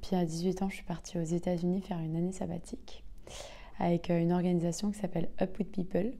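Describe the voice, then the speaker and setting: A young woman from the south of France speaks in a relaxed, mellow tone, her voice sitting a little low. She talks her way along in an interview, filling pauses with hesitant sounds and laughing easily as she goes. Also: gravelly. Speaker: singer and actress, interview guest. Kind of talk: casual interview talk.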